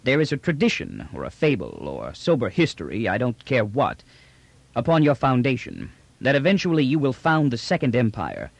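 Audiobook role: confident military commander of an empire, read by a man, formal, measured menace, expressive pitch. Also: dry recording, heavily acted. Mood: smoothly menacing. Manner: formal, precise, smoothly authoritative. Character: commanding, confident male imperial general, coolly menacing. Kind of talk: audiobook character dialogue.

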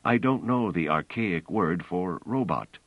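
Man playing a mild, anxious scholar, low-voiced. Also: animated, measured pace, dry recording, narrow, tinny audio. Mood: hesitant, anxious. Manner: mild, hesitant. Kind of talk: audiobook character voice.

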